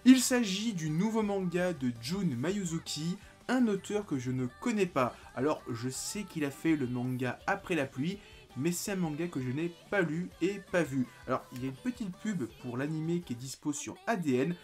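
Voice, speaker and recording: A man in his late twenties reviewing a manga in a casual video, talking animatedly and breaking into excited exclamations. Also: a little high, moderate pace, faint music behind. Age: 20s.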